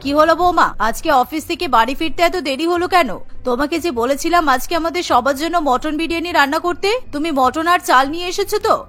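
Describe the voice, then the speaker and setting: A woman's voice: very high-pitched, quick pace, few pauses, a bit bright. A woman playing a demanding older mother-in-law in a cartoon, speaking in a commanding way. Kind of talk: cartoon voice acting.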